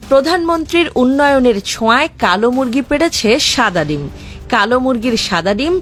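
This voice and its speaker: Casting: female Bangladeshi narrator, high voice with animated swings, sardonic scripted satire over music.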